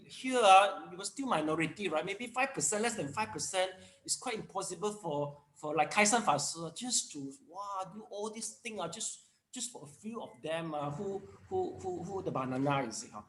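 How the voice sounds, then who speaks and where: A man's voice: sits high, expressive, normal speed, fairly bright tone, echoey room, rough recording. Man, emphatic teacher, lots of uh and restarts, video call. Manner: emphatic, many fillers and restarts. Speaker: monk teacher. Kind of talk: online dharma class talk.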